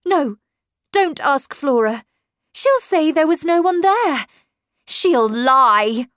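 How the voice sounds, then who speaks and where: Lady doing a worried young governess from a storybook, high voice, urgent n insistent, really animated. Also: slow pace, dry recording, studio quality. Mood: impatient, insistent. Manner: urgent, emphatic, impatient. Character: anxious, insistent young woman governess, easily horrified.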